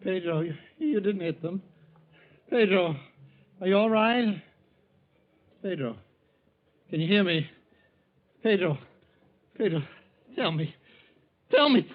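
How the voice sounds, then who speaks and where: A man's voice: sits high, animated, slow, long pauses. A man playing an anxious, scheming thief with an urgent, questioning manner in 1940s radio drama style. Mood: desperate. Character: anxious, scheming thief Herbert, desperate for hidden money. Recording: muffled old radio recording.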